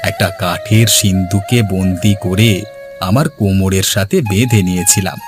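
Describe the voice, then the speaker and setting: A man playing an earnest, gentle village father and husband in a cartoon, speaking in dramatic storytelling fashion. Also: sits low, fairly even intonation, normal speed, natural voice. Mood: explaining. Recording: music behind the voice.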